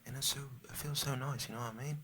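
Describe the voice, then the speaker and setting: An American man putting on a Cockney British accent for a rambling, soft-spoken, partly whispered ASMR chat that repeats and trails off. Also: mid-range voice, steady tone, fast, a little hiss or hum. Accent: put-on Cockney British. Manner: meandering, repetitive, often trailing off. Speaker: ASMR video creator.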